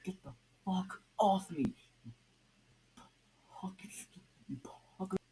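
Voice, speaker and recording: Man reading as a whiny, sarcastic vigilante antihero, mellow tone, noisy home-recorded audio story. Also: sits high, pitched above the performer's own voice. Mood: angry, exasperated. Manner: whining, swearing, halting. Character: brash, petulant male vigilante crime lord, sarcastic and whiny.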